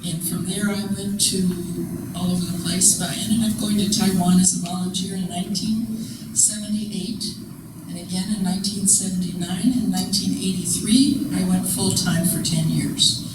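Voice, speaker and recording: A woman's voice: on the low side, fairly even intonation, moderate pace. An older woman from the Netherlands, a former missionary, introducing herself in a wry, laughing way. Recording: echoey room from far off, loud noise.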